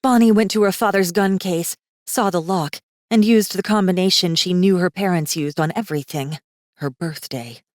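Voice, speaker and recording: A female audiobook narrator with a fairly low voice tells a fantasy story dramatically. Her delivery is suspenseful and tense. The recording is close and dry. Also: moderate pace, no room sound.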